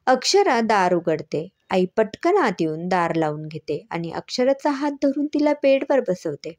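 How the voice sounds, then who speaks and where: Lady telling a story, really animated pitch, acts it out as she reads. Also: unhurried. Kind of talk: expressive scripted story narration.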